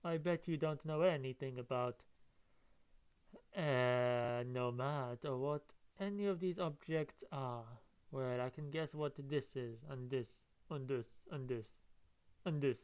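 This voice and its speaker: Man putting on a slow, gloating military villain. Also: mid-range voice, a little mellow, clear, resonant tone, natural voice. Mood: taunting. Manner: slow, menacing, lecturing. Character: cold, gloating male military admiral villain.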